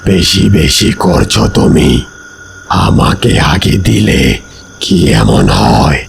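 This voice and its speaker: A man plays a greedy fox ghost in a kids' cartoon, low and thick with gravel. He argues and blames in slow, swooping phrases, with music underneath. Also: sing-song, slight background noise, full character voice. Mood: indignant, blaming. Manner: argumentative, drawn-out delivery. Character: quarrelsome, greedy, blaming male fox ghost. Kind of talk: children's cartoon voice acting.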